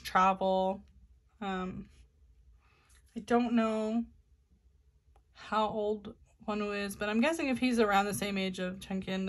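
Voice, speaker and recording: Casual woman host, mid-pitched, chatty with lots of fillers, home video tarot reader. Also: fairly bright tone. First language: not Korean. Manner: chatty, many ums and restarts. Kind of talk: casual talk to camera.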